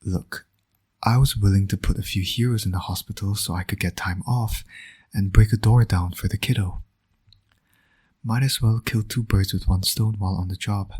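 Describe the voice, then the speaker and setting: A man playing a flirtatious villain and doting father in romantic roleplay audio, speaking in a smug, teasing, intimate way with a breathy, often near-whispered voice. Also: on the low side, fairly even intonation, moderate pace, a little mellow. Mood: soft, smug.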